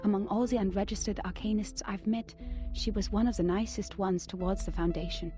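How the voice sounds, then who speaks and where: Game narration: woman as a measured, wistful journal-writer, middle pitch, soft music behind. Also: fairly even intonation, close to an everyday voice. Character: reflective woman recalling a strange acquaintance. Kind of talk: game narration of a written record.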